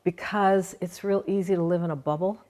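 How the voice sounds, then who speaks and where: A woman's voice: fairly low pitch, fairly even intonation, brisk, darkish tone. A woman guest giving an earnest, conversational account of her faith in an interview. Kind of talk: interview, personal testimony.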